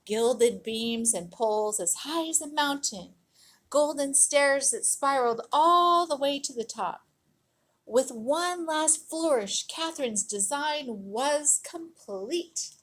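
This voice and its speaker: A woman reading a children's picture book aloud in an expressive, dramatic storytelling manner, leaning on key words and drawing them out. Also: high voice, takes it slowly. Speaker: storybook reader.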